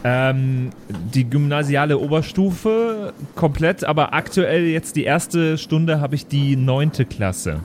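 Joking man with a slightly high voice. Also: animated, slow, hardly any pauses, a little mellow, a little hiss or hum. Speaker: role-playing game player. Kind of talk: improvised in-character banter.

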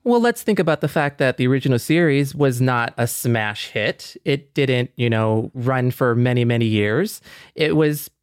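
A man with a mid-pitched voice speaks as a podcast co-host in casual discussion. The recording is dry and close, with no room sound to speak of. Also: expressive, normal speed, clean tone, no echo.

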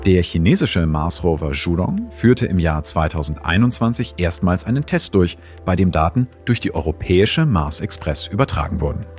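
Deep-voiced man narrating science. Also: lively intonation, normal speed, hardly any pauses, darkish tone, faint music behind. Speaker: host and science presenter. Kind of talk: scripted narration, science explainer.

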